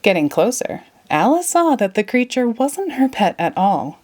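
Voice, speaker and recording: Expressive woman reading a children's storybook. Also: fairly high pitch, normal speed. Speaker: storybook narrator. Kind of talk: expressive children's read-aloud narration.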